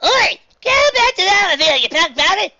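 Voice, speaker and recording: A woman voicing a crude, rowdy pony fan yelling insults at a ball game. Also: very high voice, sing-song, normal speed, dull audio, voice pushed up, exaggerated voice. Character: rowdy pony fan, foul-mouthed heckler.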